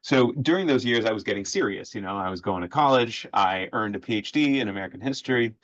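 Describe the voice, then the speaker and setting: A man in his forties from Sweden, a history professor speaking as a podcast guest in a measured way with many filler sounds. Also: fairly low pitch, lively intonation, fairly bright tone, no echo. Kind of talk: podcast interview. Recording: dull lo-fi audio, dry.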